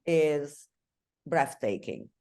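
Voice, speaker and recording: An Italian woman interviewing a singer, speaking English with an Italian accent in an admiring way, often pausing to find her words. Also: low-pitched, very animated, a little mellow. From Italy.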